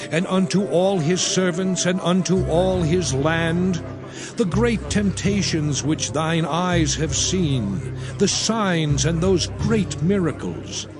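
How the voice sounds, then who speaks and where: A man playing Moses, a solemn prophet and leader addressing his people in a deliberate, declamatory scripture drama. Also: a little high. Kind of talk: dramatized scripture reading in King James English. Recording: music playing behind the voice.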